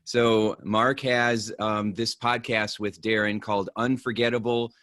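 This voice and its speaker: A man's voice: lowish voice, little pitch movement, unhurried, fairly bright tone, dry recording. A man hosting a podcast, gushing with praise in casual interview chat. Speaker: podcast host.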